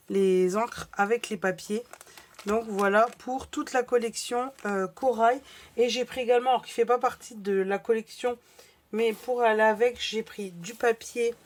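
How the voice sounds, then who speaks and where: A woman vlogger with a slightly hoarse voice, chatting casually through a crafting haul with many fillers and restarts. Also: medium pitch, slight background noise.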